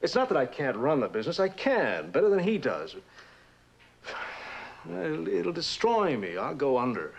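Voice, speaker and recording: A man's voice: on the high side, huge pitch swings, moderate pace, creaky, husky, exaggerated voice. An actor playing a troubled, anxious young male heir, theatrical and hesitant, in an old suspense drama. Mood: anguished. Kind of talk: old radio and television suspense drama acting. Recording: noisy vintage drama recording.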